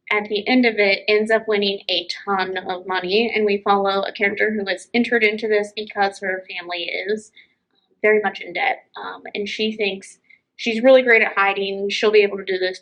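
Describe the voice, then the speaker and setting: A woman's voice: mid-range voice, creaky, fairly bright tone, low-quality recording. A woman hosting a book chat, talking informally and enthusiastically as she gives recommendations. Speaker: book show host.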